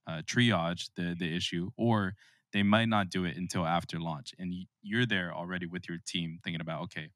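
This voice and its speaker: Low-voiced male podcast host. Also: fairly even intonation, normal speed, a bit bright, no room sound, studio quality. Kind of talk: conversational interviewing.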